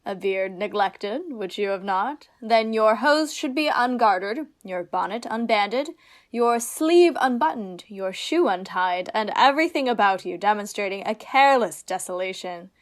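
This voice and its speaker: A woman acting a witty, lovestruck young noblewoman in disguise in a Shakespearean radio play, theatrical and quick-witted. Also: medium pitch, close to an everyday voice. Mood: playful mocking.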